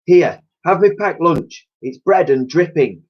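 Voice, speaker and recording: A man's voice: on the high side, animated, a little slow, voice pushed up. A man voicing a dotty, kindly cleaning lady with a chatty, colloquial manner in a children's storybook. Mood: friendly. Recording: dull lo-fi recording.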